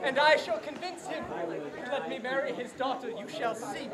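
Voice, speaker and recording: A woman's voice: sits high, normal speed, lightly acted. A woman playing a lovesick flowery poet. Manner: florid, overblown romantic declaiming. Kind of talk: outdoor commedia dell'arte street show. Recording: crowd noise outdoors.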